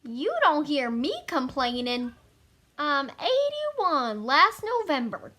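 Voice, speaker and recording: Spry American townswoman of eighty-one, acted by a young woman: high, bright, slow and swooping, amateur stage style. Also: very animated, heavily acted. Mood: feisty, proud. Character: spry, feisty old small-town woman of eighty-one. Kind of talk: amateur stage-play acting.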